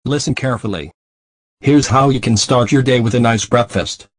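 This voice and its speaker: A man's voice: medium pitch, normal speed, no room sound. A man working as an announcer, reading scripted links and ads in old-time radio style. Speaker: show announcer.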